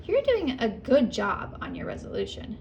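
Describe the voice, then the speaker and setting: A kind rabbit running a storybook diner, who gives advice in a gentle way, as a woman reading aloud voices her. Her voice sits fairly high. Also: huge pitch swings, normal speed, gravelly, dull audio, slight background noise, heavily acted. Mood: kindly. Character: kindly female rabbit diner cook.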